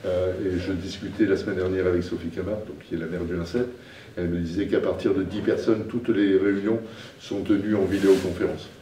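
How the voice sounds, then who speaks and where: An older man hosting a public debate, speaking with many hesitations and fillers while standing. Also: low voice. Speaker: event host. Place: small lecture room. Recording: roomy and distant.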